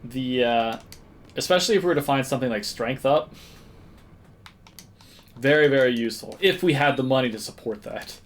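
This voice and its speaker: A man's voice: mid-range voice, normal speed, long pauses. A man giving casual video game commentary, thinking aloud and now and then humming little nonsense tunes. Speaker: video game streamer and speedrunner. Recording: game music behind the voice. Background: video game music.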